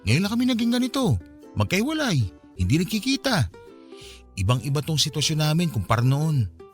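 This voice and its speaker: A man's voice: on the high side, sing-song, brisk, soft background music. A man acting a frustrated young boyfriend in a Filipino radio drama, venting in a conversational, complaining way with a Filipino accent. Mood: regretful.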